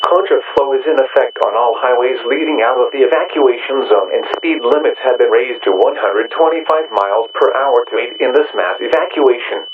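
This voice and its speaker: A man acting as a grave warning announcer in an emergency broadcast drama. He is formal and measured, with a mid-pitched voice. Clear noise and hum run through the radio-like recording. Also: narrow, tinny audio, close to an everyday voice. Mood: grave, urgent. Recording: noisy radio alert audio with hum.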